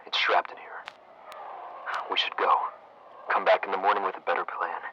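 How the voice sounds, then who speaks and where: A man playing a nervous 1960s history professor who talks in a hesitant, stammering, hushed way. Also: low voice, level tone, normal speed, in a whisper, lo-fi sound. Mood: anxious. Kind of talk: audio log narration.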